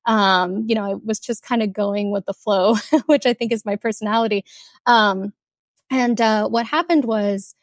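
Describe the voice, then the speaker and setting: A young woman from Kuwait, an author talking casually in an interview, chatty with many fillers and soft laughs. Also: medium pitch, normal speed, dry recording, studio quality. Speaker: author guest.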